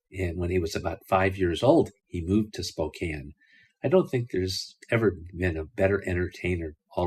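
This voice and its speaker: A man with a low, husky voice hosts a radio show. He talks plainly and conversationally, without hurry, as he introduces the program. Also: a little mellow.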